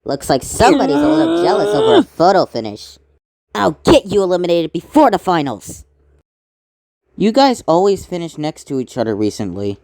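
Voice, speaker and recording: Animated voice actor, very dry sound. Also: mid-range voice, normal speed, gravelly, dry recording. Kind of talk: amateur cartoon voice acting.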